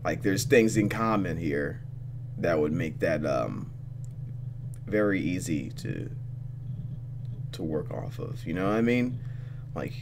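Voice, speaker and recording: A young man hosting a let's play, reading story text aloud and commenting casually in an animated, conversational way. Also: sits low, slow pace, vocal fry, long pauses, noisy background.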